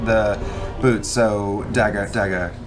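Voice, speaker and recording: A man's voice: on the low side, fairly even intonation, slow, over music. A man talking casually as a player at a tabletop game.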